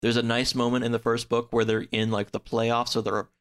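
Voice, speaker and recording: A man in a casual podcast chat, recapping a story in a halting, hedging way. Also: medium pitch, flat delivery, clean tone. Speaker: podcast speaker discussing books.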